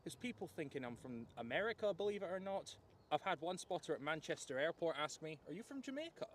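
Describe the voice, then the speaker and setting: A man's voice: a little high, sing-song, fast talker, a bit bright. Young Edinburgh man, polite and chatty. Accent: Edinburgh Scottish. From Scotland. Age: young adult. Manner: polite, talkative, a bit hesitant. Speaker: visiting plane spotter. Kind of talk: casual chat. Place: outdoors at an airport.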